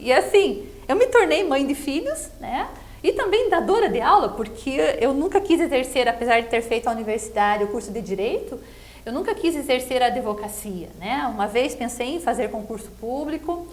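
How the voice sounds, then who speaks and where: A middle-aged Brazilian woman with a high-pitched voice speaks in a conversational interview. She explains her views earnestly, as a candidate would, and keeps checking in with short tag questions. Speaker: political pre-candidate being interviewed.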